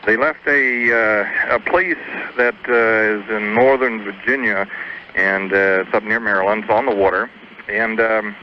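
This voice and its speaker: A man calling a radio advice show by phone, explaining his problem in a hesitant, halting way. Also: fairly low pitch, fairly even intonation. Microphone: telephone. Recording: dull, noisy phone call on radio.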